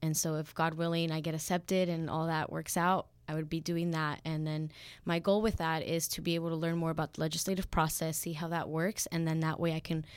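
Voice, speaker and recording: A woman's voice: low voice, level tone, a little fast, clear, resonant tone, no echo, studio quality. A young woman from Peru, a student guest, speaking earnestly with some fillers. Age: young adult.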